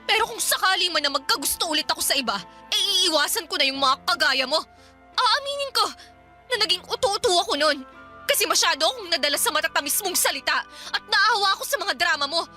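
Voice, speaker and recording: Radio drama role: sharp-tongued Filipino young mother, very high, piercingly bright, confrontational and fed up, music faintly behind. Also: expressive, fast, full character voice. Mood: scornful, bitter. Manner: confrontational, accusing, nagging. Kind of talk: heated radio drama dialogue.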